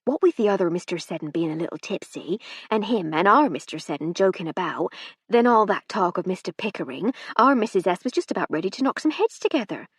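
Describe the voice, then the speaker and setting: A woman's voice: fairly low pitch, animated, brisk, slightly rough, dry recording, studio quality. A woman doing a gossipy, plain-spoken housemaid. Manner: colloquial working-class speech.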